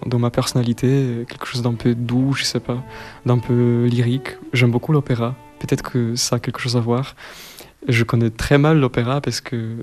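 Soft-spoken young Polish man, flat delivery. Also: mid-range voice. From Poland. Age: 20s. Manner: soft-spoken, hesitant, many fillers and restarts. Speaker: cellist interviewee. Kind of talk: interview answers.

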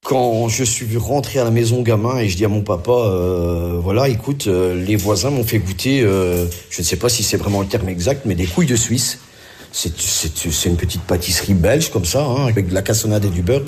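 A man's voice: lowish voice, normal speed, darkish tone, a little hiss or hum. A middle-aged man from Belgium with a Belgian French accent, chatting casually and reminiscing in a colloquial way. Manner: colloquial, uses conversational tags. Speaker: interviewee recounting family memories.

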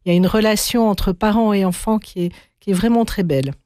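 A woman's voice: medium pitch, fairly quick, no room sound, clean studio sound. Hesitant middle-aged woman, interview guest. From Belgium. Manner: warm, hesitant, many fillers. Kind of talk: interview answers.

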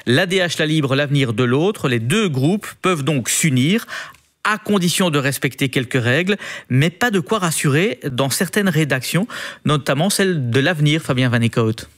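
A man reading the radio news as anchor, brisk with occasional fillers. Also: a little high, a bit bright.

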